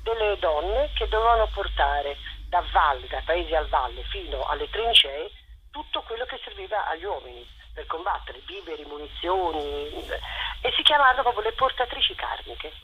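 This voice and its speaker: A woman interviewed on radio as a theatre director, talking effusively in a halting, digressive storytelling way. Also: lowish voice, lively intonation. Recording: dull, noisy radio phone line.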